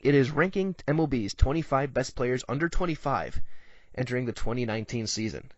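A man's voice: mid-range voice, expressive, fairly quick, no echo, muffled recording. A man with an American accent hosting a sports show, talking through the news in a conversational commentary style. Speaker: sports podcast host.

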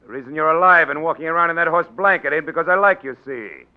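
An actor playing a tough, bullying big-city political boss in 1940s radio style, gruff and sardonic, talking in gangster slang. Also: male voice, fairly high pitch, fast, close to an everyday voice. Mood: sardonic, commanding. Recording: dull 1940s radio recording.

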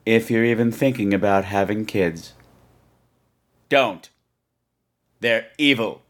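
A man hosting a podcast outro in a theatrical, rambling, excitable way. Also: on the low side, slow pace. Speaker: podcast host.

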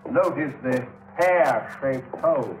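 An actor playing a vain, domineering old Shakespearean actor who declaims grandly and imperiously in 1950s radio drama style. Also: male voice, medium pitch, slow, close to an everyday voice, low-quality recording. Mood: pompous. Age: older.

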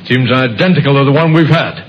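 An actor playing a shrewd, stern police inspector in an old-time radio mystery, with a dry, measured, insinuating manner. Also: male voice, mid-range voice, natural voice. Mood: quietly triumphant. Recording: muffled, noisy vintage radio recording.

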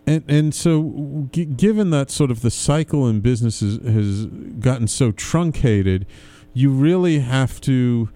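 A man's voice: medium pitch, lively intonation, a little slow, mellow. A man hosting an interview in a conversational, agreeable way, often chiming in with affirmations. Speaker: show host, interviewer.

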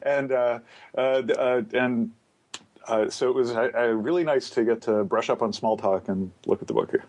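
A man's voice: medium pitch, normal speed, darkish tone. Froggy-voiced man with a sore throat. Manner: filler-heavy, joking. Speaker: podcast panelist. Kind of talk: casual panel podcast chat.

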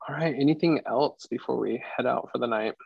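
A soothing, soft-spoken man chatting with his group on a video call, his voice carrying a gravelly fry. The sound is dry and close, with no room around it. He laughs easily and keeps things relaxed. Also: mid-range voice, fairly quick, no echo, muffled recording. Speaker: discussion group leader. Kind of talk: casual online group chat.

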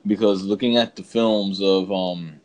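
A man's voice: low-pitched, steady tone, unhurried, darkish tone. A man co-hosting a podcast, chatting casually in a slangy, rambling way and circling back to agree. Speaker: podcast co-host. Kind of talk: casual movie chat.

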